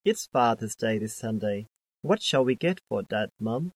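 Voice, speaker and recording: A man reading scripted dialogues for an English listening test in a plain, measured way. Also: lowish voice, animated, dry recording. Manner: plain, measured textbook delivery.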